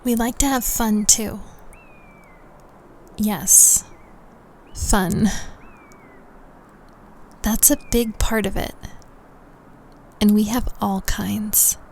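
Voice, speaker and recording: A woman plays a young secret society recruiter from an elite prep school, in an intimate audio roleplay. She speaks slowly, with a creaky edge and phrases that sink toward a whisper. Her manner is coaxing and confiding, with quiet menace underneath. A faint noise sits behind the voice. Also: medium pitch, steady tone. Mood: playful, inviting.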